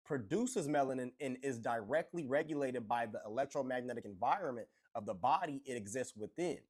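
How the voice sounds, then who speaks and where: A man reading a scripted explainer in a steady, explanatory way. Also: medium pitch. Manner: steady, explanatory, long flowing sentences. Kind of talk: scripted narration.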